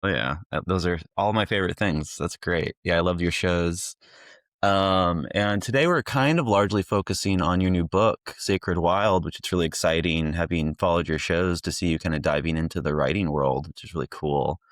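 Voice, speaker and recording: A man's voice: deep voice, moderate pace, dry recording, clean studio sound. A man hosting a casual interview, encouraging and affirming toward his guest. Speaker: podcast host, interviewer.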